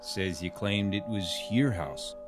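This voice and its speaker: Low-voiced small-town sheriff. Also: male voice, faint music behind. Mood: official, calm. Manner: measured, folksy authority. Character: steady, plain-spoken small-town sheriff, older man. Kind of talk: radio drama acting.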